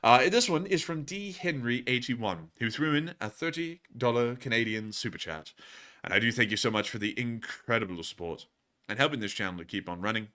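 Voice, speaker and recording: A man's voice: mid-range voice, expressive, a little fast, bright, forceful tone. A man from the United States with a tired voice, putting on a British accent as he hosts a livestream and answers viewers in wordy, emphatic, formal phrases. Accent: put-on British. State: fatigued voice. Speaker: livestream host of a news channel.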